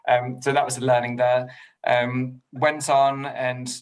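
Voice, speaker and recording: A man's voice: mid-range voice, level tone, measured pace. A middle-aged man from England, a podcast guest, talking politely and modestly with many ums and small laughs. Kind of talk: casual interview chat.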